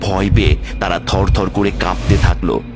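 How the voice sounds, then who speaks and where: A man's voice: deep voice, steady tone, normal speed, over music. A man giving scripted narration for a cartoon horror story.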